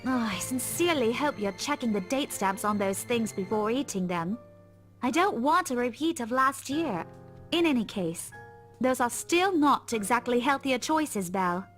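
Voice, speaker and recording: A woman's voice: a little high, expressive, normal speed, close to an everyday voice. A woman playing a motherly, teasing schoolteacher in a visual novel game, fussing over a friend with fond scolding. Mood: chiding. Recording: music behind the voice.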